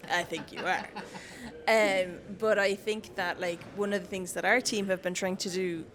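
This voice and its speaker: A woman's voice: mid-range voice, steady tone, moderate pace, fairly bright tone. Irish woman from cork, chatty, laughs often, young adult, interview guest. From Ireland. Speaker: city council staff guest. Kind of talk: casual interview conversation.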